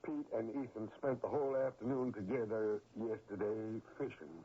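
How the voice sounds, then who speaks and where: A stern old backwoods father, husky and rural American, speaks with grieving, accusing weight. The delivery is dramatic radio acting, heard through a muffled, phone-like old broadcast recording. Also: fairly low pitch, fairly even intonation, moderate pace. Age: older.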